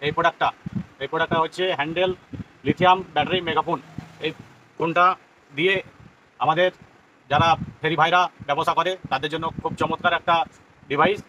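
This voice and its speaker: A man's voice: fairly high pitch, steady tone, fast, pauses often, lo-fi sound, noisy background. A man gives an informal, explanatory product demonstration as a shopkeeper, often repeating himself. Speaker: shopkeeper presenting products on own channel.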